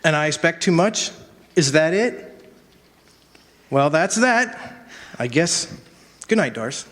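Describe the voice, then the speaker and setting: Man as an earnest high-voiced lawyer, noisy hall. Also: big pitch swings, takes it slowly. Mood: hurt, resigned. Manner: earnest, polite, probing. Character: earnest, idealistic defense lawyer. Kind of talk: old-fashioned radio drama acting. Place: live performance on a college campus. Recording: noisy reverberant hall stage recording.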